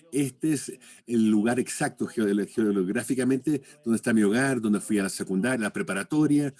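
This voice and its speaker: A man interpreting a public lecture live, speaking haltingly as he searches for words and rewords his phrases. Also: mid-range voice, mellow.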